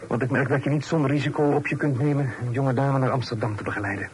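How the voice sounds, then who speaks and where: A man playing a well-bred, earnest young gentleman in a period radio drama, formal and prone to stammering when flustered. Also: medium pitch, fairly even intonation, fast, natural voice. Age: young adult. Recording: noisy old radio drama recording.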